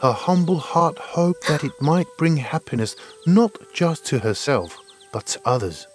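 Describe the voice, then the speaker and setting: A man's voice: a little high, animated, a little slow, faint music behind. A man narrating a scripted fairy tale in an earnest, dramatic storytelling manner.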